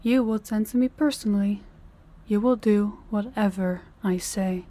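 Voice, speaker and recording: A woman playing a dominant, cold mafia boss in a roleplay audio, speaking to the listener in a deliberate, condescending, commanding way. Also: medium pitch, warm tone, lightly acted. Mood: condescending, strict.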